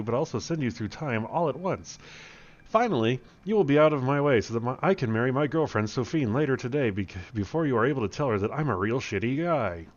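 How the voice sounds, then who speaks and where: A man's voice: mid-range voice, muffled recording, lightly acted. A man voicing a smug, scheming boss villain in a comic story, pompous and long-winded.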